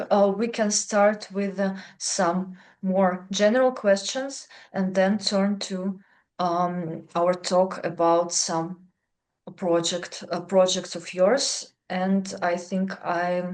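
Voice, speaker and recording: A woman's voice: fairly low pitch, steady tone, takes it slowly, darkish tone. A woman moderator opening a talk, hesitant with many ums. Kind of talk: event introduction.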